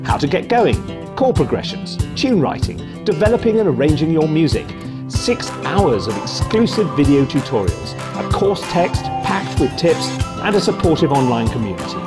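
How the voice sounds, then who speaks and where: A man's voice: a little high, sing-song, moderate pace. A man presenting an online course in a scripted promotional narration. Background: loud guitar music bed.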